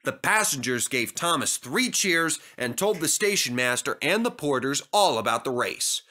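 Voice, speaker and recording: A man narrating a scripted children's story as an animated storyteller. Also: on the high side, normal speed.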